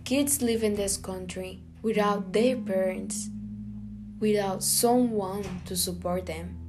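A woman's voice: mid-range voice, slow. A woman from Guatemala, earnestly reading a scripted spoken piece. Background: guitar music.

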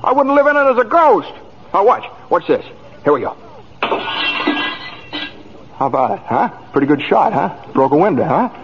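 An eager, high-voiced young dreamer. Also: male voice, big pitch swings, measured pace, pauses often, heavily acted. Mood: eager, boastful. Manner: stammering, repeating words. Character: restless eager young small-town man with big dreams. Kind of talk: 1940s radio acting. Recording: muffled, hissy vintage radio recording.

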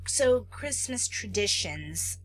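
An American woman co-hosting a podcast in casual chat, chatty and quick to laugh. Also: mid-range voice, slight background noise, low-quality recording. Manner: chatty, laughs often, excited retelling. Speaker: podcast co-host.

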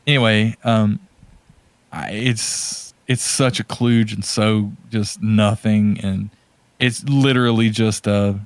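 Lowish male voice, informal podcast cohost chatting, very dry sound. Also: steady tone, takes it slowly, no echo. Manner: informal, conversational. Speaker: podcast co-host. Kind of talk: casual chat.

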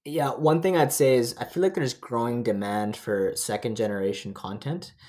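A man's voice: medium pitch, normal speed, darkish tone. A young man, casually explaining his views as a podcast co-host. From Canada, born in Nepal. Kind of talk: casual discussion.

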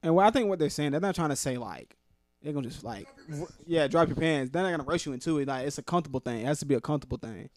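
Excitable young man with a higher voice. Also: very fast. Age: 20s. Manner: animated, laughs while telling stories, swears freely. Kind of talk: casual podcast chat.

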